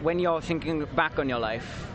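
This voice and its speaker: A man's voice: on the high side, background noise. A teenage boy from Wales with a Welsh accent, talking earnestly and at length in a live street interview outdoors. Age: teens. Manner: earnest, rambling, quick-talking. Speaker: interview guest. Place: outdoors at a downtown riverfront. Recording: outdoor street with a loud crowd behind.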